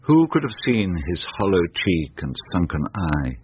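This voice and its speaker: An actor with an English accent playing a solemn male Victorian author narrating a radio drama in a measured, literary way. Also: sits low, big pitch swings, breathy edge, full character voice. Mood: solemn, ominous. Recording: dull lo-fi radio recording, faint noise.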